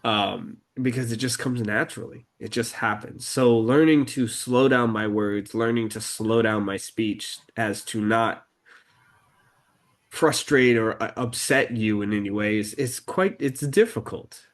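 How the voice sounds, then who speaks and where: Low-voiced man, slow and halting. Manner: halting, many fillers and restarts, deliberately slowed speech. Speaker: podcast co-host. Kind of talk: casual conversational podcast talk.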